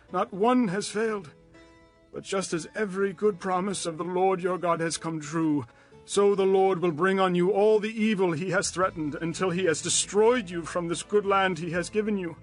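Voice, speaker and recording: Casting: male actor for elderly biblical leader, grave, emphatic oratory, raised pitch, scored with music. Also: close to an everyday voice. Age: older. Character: aged male leader of Israel, solemn and exhorting. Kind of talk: dramatized scripture reading, oratory.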